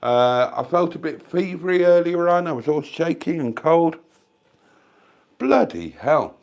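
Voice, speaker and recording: A man's voice: a little high, very animated, a little slow, darkish tone. An older man with a British accent hosting a casual livestream from home, congested with a cold and coughing, calling his viewers darlings in a rambling, self-mocking way. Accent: British English. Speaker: YouTube livestream host. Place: at home, near the fridge. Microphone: desk microphone.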